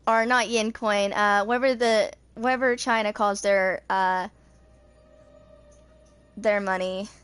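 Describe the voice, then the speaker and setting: A woman's voice: mid-range voice, steady tone, takes it slowly, long pauses, fairly bright tone, clean tone, soft background music. A woman in her twenties chatting casually while gaming, with a rambling, informal way of talking. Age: 20s. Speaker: video game streamer.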